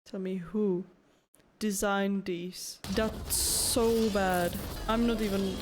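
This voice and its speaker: A woman's voice: mid-range voice, steady tone, slow pace, noisy background. A woman giving casual, blunt gameplay commentary full of slang and swearing, as a streamer reacting to a game. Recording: game music behind the voice. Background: video game music and sound.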